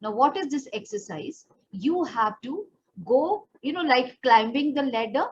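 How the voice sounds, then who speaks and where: High-voiced Indian woman teaching, dull lo-fi sound. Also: lively intonation, a little slow. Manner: explanatory, demonstrative, addressing the learner directly. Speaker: pageant and personal development trainer. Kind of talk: instructional voice training lesson. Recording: dull lo-fi audio, faint background noise.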